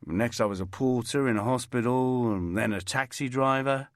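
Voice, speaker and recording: A man's voice: mid-range voice, moderate pace, no echo, clean studio sound, lightly acted. A man voicing a cocky journalist with a raised, brash delivery. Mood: breezy.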